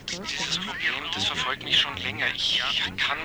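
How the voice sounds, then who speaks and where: A man's voice: mid-range voice, normal speed, vocal fry, close to an everyday voice. A man playing a troubled, obsessive phone-in caller in a radio drama, on noisy crossed phone lines.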